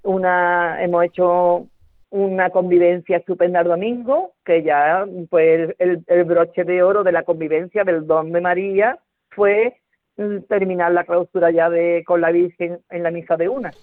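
A woman's voice: on the low side, steady tone, normal speed, no echo. A woman chatting as a volunteer coordinator being interviewed over a tinny phone line.

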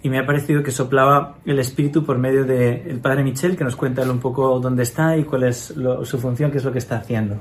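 Man, from Spain, radio host, warm effusive greetings, mid-pitched voice, faint hiss behind. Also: steady tone, a little fast, few pauses. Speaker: radio program host, priest. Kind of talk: radio program presentation.

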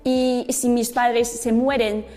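Chinese woman in her 30s, accent, lots of um's, slightly high voice, echoey clip with soft music. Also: little pitch movement, slow pace. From China. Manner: hesitant, many fillers, searching for words. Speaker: interviewee giving a testimony.

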